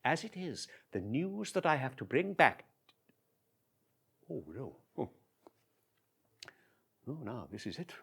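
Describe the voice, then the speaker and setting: A chatty, confiding messenger, played by a man who talks straight to the audience in a one-man stage monologue. His delivery is animated and full of wry asides, and his voice rises and falls freely. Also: medium pitch, slow, vocal fry, pauses often, breathy edge, exaggerated voice.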